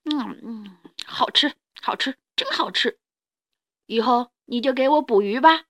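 Lady doing a big t-rex guy for a kids story, gruff and blunt, halting, secretly kind. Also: fairly high pitch, a bit bright. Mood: greedy, pleased. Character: big gruff male Tyrannosaurus, hungry loner softening into kindness.

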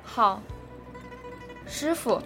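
A woman's voice: very slow, sharp tone, reverberant, soft background music. A woman playing a guarded, brave orphaned young girl in an amateur radio drama, speaking in terse replies and questions. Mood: questioning.

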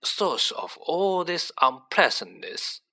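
High, feeble old headmaster wizard. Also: male voice, big pitch swings, pushed and bright, exaggerated voice. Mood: grave, concerned. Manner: slow, kindly, sighing.

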